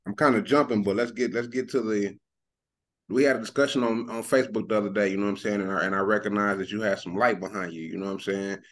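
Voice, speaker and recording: Man, oklahoma accent, podcast host, easygoing, quick pace, lowish voice. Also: steady tone, fairly bright tone. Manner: easygoing, conversational, many "you know what I'm saying". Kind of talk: casual podcast interview.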